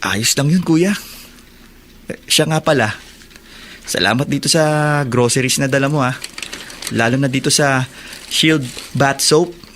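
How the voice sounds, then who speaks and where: A man's voice: mid-range voice, unhurried, long pauses, fairly bright tone, slight background noise, natural voice. A man acting as an earnest young prisoner and younger brother in a Tagalog radio drama, with emotional delivery. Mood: earnest, pleading.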